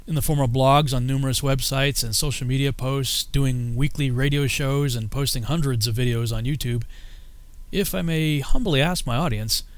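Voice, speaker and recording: A man delivers scripted personal narration in a medium-pitched voice. His phrasing is measured and emphatic, setting out his points one by one. The recording is dry, with very little room sound. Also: no room sound. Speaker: filmmaker and YouTuber narrating his own video.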